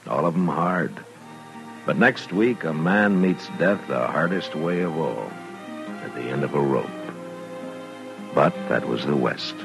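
A very deep-voiced American lawman of the Old West, gruff and weary. He speaks in terse, grave lines with a gravelly creak, in 1950s radio western style. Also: lively intonation, unhurried, long pauses, over music, full character voice. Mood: somber. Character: gruff, weary male US marshal of the frontier West. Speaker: radio drama lead actor.

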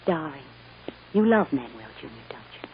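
A woman's voice: sits low, big pitch swings, normal speed, gravelly, exaggerated voice. An actress playing a loving, reassuring American mother soothing her young daughter in an old-time radio drama. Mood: earnest, reassuring. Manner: tender, reassuring, emotionally earnest. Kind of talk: 1940s-50s American radio drama acting. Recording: dull, noisy old radio recording.

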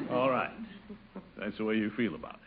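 An actor playing a pompous, easily flustered middle-aged water commissioner in a blustery 1950s radio sitcom style. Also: male voice, lowish voice, lightly acted. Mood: hopeful, indignant. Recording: muffled old radio recording, faint hiss.

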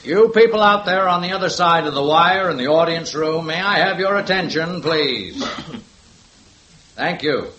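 A brisk, commanding radio police sergeant, higher-pitched voice, echoey old broadcast. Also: male voice, lively intonation, bright, forceful tone, lo-fi sound, a little hiss or hum. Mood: firm, official. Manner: firm, orderly, barks instructions. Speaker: police sergeant running the lineup. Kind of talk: 1950s radio drama acting.

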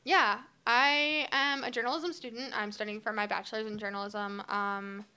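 A woman's voice: fairly high pitch, steady tone, moderate pace, pushed and bright, clean tone. A woman in her twenties, a podcast guest, introducing herself in casual, rambling chat with lots of ums. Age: 20s. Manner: chatty, self-deprecating, many ums.

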